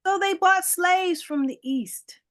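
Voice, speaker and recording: A woman's voice: extremely high pitch, takes it slowly, a bit bright. A woman, guest and foundation director, explaining history in an interview with frequent ums and tag questions. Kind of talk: interview answers.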